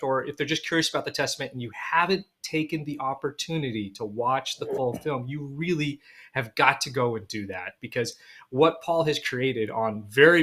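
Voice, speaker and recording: A man's voice: medium pitch, fairly bright tone. A man speaking casually and enthusiastically as a film director guest in an informal interview.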